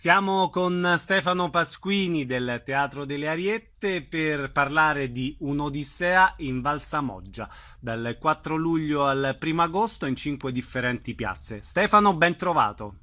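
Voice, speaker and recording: A man's voice: a little high, expressive, measured pace, hardly any pauses, pushed and bright, soft background music. A man hosting a radio interview, putting questions to his guests.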